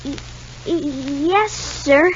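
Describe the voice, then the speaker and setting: A muffled, noisy old radio soap recording carries a very high, childlike boy's voice. He speaks slowly and haltingly, anxious and polite, yet stubborn. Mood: hesitant, timid. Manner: hesitant, stammering, polite. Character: timid, anxious, stubborn six-year-old boy. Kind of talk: 1950 radio soap opera acting.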